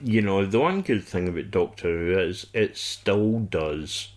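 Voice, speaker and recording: A man co-hosting a podcast, talking casually about a TV show in a halting, rambling way. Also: sits low, animated. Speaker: podcast co-host.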